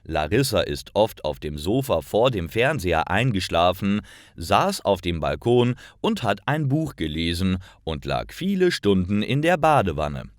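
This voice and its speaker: A man reading simple stories aloud in a clear, careful narration for language learners. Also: low-pitched, big pitch swings, unhurried, no echo, clean studio sound. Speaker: storyteller for German learners. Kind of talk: scripted slow narration.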